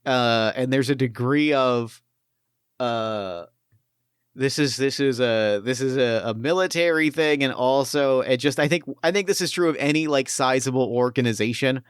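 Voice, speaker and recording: A man's voice: mid-range voice, normal speed, clear, resonant tone, no echo, clean studio sound. A man running a tabletop actual play as game master, musing about his character in a rambling, thoughtful way with many restarts.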